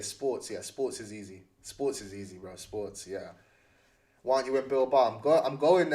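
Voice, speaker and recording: A man's voice: on the low side, darkish tone. A young man with a London accent chatting casually to livestream viewers, slangy and often repeating phrases for emphasis.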